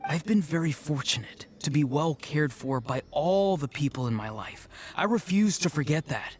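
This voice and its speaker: A man's voice: on the high side, lively intonation, moderate pace, a little hiss or hum, close to an everyday voice. A man voicing an earnest, determined young hero in a video game, with heartfelt delivery.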